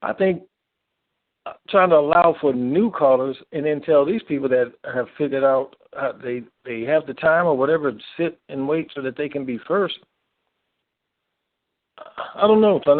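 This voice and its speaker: Man, co-host of a call-in, halting casual delivery, dry and thin telephone sound. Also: fairly high pitch, dry recording. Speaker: co-host reading listener questions. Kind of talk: casual conference call, reading questions aloud. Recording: narrow, lo-fi phone line.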